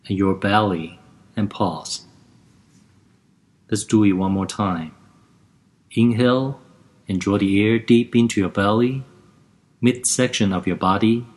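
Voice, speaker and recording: A man guiding a lying-down relaxation and breathing exercise, giving gentle step-by-step instructions in a calm, even way. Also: fairly low pitch.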